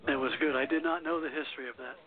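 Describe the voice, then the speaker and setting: A slightly high-pitched man reads history notes on a call-in radio show. He comes through a thin, tinny phone line. His reading is halting and trips over unfamiliar names. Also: fairly even intonation, over loud music. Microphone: phone line into a call-in internet radio show.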